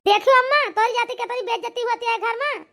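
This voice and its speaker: A woman voicing a harsh, scolding, ungrateful grown daughter in an amateur cartoon, with an Awadhi accent, a bossy manner and a pitched-up voice. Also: brisk. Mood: scolding, dismissive. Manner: sharp, bossy, contemptuous. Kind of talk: amateur cartoon comedy-drama acting.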